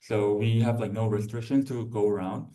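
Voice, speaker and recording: A young man giving an informal student presentation, halting and repetitive, peppering his sentences with "like". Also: lowish voice, steady tone, mellow. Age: young adult. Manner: halting, repetitive, heavy use of "like". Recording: distant microphone.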